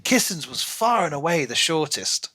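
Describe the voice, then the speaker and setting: Male podcast co-host, slightly high pitch, sharp bright tone, animated casual book chat, acts out imagined lines. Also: normal speed.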